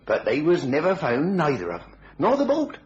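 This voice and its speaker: High-pitched, animated English police sergeant. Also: male voice, normal speed, lo-fi sound. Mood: gossipy. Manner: colloquial, ungrammatical, knowing. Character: blunt, gossipy, jovial local police sergeant. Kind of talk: radio thriller acting.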